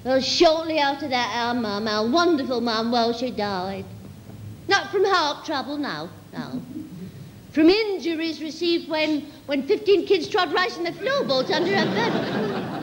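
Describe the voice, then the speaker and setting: A woman's voice: high voice, lively intonation, a little slow, in a large hall, a faint crowd, a little hiss or hum, poor audio. A woman performing a comic monologue as a sentimental working-class Cockney, rambling and repeating earnestly while reminiscing. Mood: mournful, earnest.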